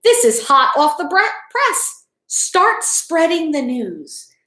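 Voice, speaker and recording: Lady doing a grand circus showman from a kids book, jovial, swingy pitch, roomy webcam audio. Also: sing-song, slow, bright, forceful tone, heavily acted. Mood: pleased, grand.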